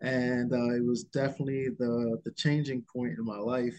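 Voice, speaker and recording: A man from the Bahamas, a guest entrepreneur, telling his story in an interview with frequent pauses. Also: mid-range voice, little pitch movement, darkish tone, dull audio. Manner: unhurried, with frequent filler pauses.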